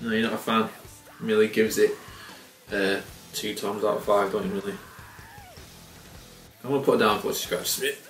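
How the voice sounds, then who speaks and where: A man in his thirties casually reviewing a beer to camera, relaxed and swearing freely with frequent chuckles. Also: lowish voice, moderate pace, pauses often, soft background music. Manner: laid-back, swears casually, chuckles often. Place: small indoor room by window blinds. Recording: roomy and slightly distant.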